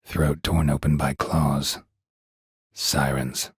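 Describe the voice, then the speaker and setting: An actor playing a gruff, stoic monster hunter in a video game, with terse, deadpan, dry-witted delivery and a gravelly voice. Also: male voice, slow, no echo, exaggerated voice. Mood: somber, measured. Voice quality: gravelly, husky low voice. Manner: terse, deadpan, clipped phrasing.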